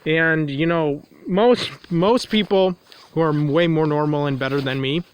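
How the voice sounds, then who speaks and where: A man with a nasal, stuffed-up voice, pitched a touch higher than most men, rambles through a confessional vlog monologue. He repeats himself often and restates the same point several ways. He is recording outdoors in the cold. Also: measured pace, slight background noise, low-quality recording. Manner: repetitive, circling back to restate points. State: congested from a runny nose. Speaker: vlogger.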